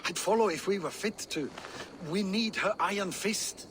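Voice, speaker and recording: A man's voice: high voice, moderate pace, noisy background, close to an everyday voice. A man playing a proud, loyal but beaten Viking warrior in a video game, telling his tale emphatically. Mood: bitter, agitated. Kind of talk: dramatic video game cutscene acting.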